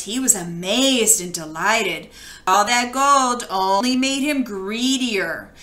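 A woman reading a children's storybook aloud with expressive, dramatic storytelling. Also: fairly high pitch, roomy sound. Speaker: children's book read-aloud reader.

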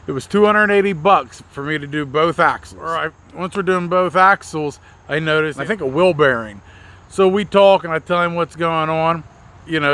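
A man's voice: a little high, bright, forceful tone. An American man in his thirties telling a story casually outdoors to camera, with blunt, slangy delivery. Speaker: mobile mechanic and video host. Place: outdoors in a leafy backyard.

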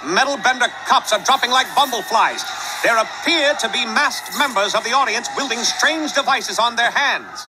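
A man voicing an excitable cartoon sports announcer, giving urgent, projected play-by-play commentary. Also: extremely high pitch, moderate pace, noisy background. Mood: alarmed.

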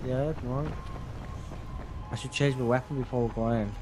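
A man playing a co-op video game, chatting casually and exclaiming excitably. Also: medium pitch, fairly quick, music in the background, rough recording. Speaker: gamer playing co-op in a walkthrough video. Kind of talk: casual gameplay chat. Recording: loud game noise behind voice. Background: video game sound effects.

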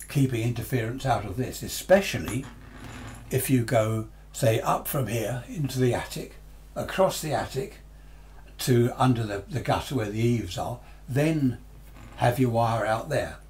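A man's voice: medium pitch, a little mellow, a little hiss or hum. Older Englishman explaining things informally. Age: 60s or 70s. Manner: conversational, explanatory, repeats and rephrases himself. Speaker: amateur radio enthusiast presenting a tutorial. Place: small cluttered home radio workshop, indoors.

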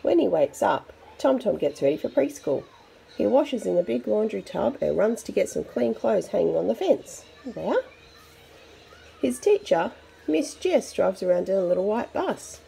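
A woman reading a picture book aloud to young children in an expressive, chatty way. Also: medium pitch, moderate pace, gravelly, husky, rough recording. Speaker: storybook reader for children.

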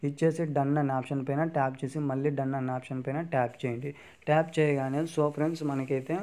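Fast, flat-voiced man giving a tutorial. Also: mid-range voice, clear, resonant tone. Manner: repeats "friends" and fillers constantly. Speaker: tech tutorial host. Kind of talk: screen-recorded app tutorial.

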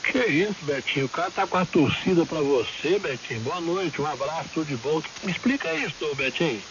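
Higher-voiced Brazilian man on buzzy, hissing radio. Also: expressive, fairly quick. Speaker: amateur radio operator. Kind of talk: casual radio banter. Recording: distant, muffled radio with buzzing hiss.

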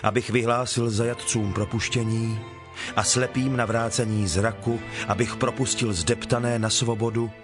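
An actor playing a calm, authoritative holy teacher in a dramatized Bible reading, speaking in a measured, solemn, declamatory way. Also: male voice, mid-range voice, over music, lightly acted. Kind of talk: dramatized Bible audio acting.

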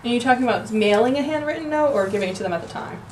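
A woman attending a seminar who asks halting, searching questions from the audience, heard from far off in a roomy space. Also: on the high side, animated, darkish tone, a little hiss or hum. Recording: roomy, distant audience pickup.

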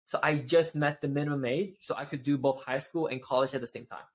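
A man's voice: mid-range voice, a little fast. A man in his twenties from Malaysia, chatting casually as a guest over a video call and talking with his hands. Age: 20s. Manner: animated, gestures with both hands, many "right?" tags. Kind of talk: casual interview chat. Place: home office with shelves.

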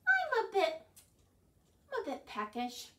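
A woman's voice: very high-pitched, wildly swooping pitch, slow pace, pushed and bright, roomy sound, far from the mic, pitched above the performer's own voice, full character voice. Woman reading as a whiny hungry storybook bird. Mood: hungry, pleading. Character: hungry, pestering male cartoonish storybook bird.

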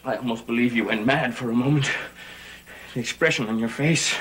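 A man's voice: medium pitch, fairly quick, natural voice, rough recording. An actor playing an insistent, suspicious young man in a theatrical gothic soap opera, on an old TV soundtrack. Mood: probing. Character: insistent, suspicious man confronting his cursed cousin. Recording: old TV soundtrack under loud music.

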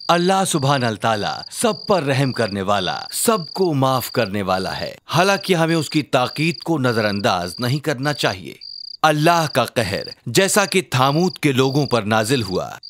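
A man voicing an encouraging father who narrates a children's cartoon story in an expressive way. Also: medium pitch, background noise. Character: warm bespectacled father telling his child religious stories.

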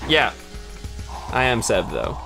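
A man's voice: mid-range voice, wildly swooping pitch, slow, creaky. Casual male gamer over game music. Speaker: let's play co-host. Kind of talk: casual gameplay chat.

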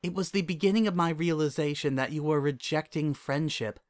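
A man voicing a calm, kindly female pony princess and mentor in a storybook reading, speaking in a gentle, measured, regal way. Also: a little high, pitched above the performer's own voice, lightly acted. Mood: gentle, reflective. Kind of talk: storybook character dialogue.

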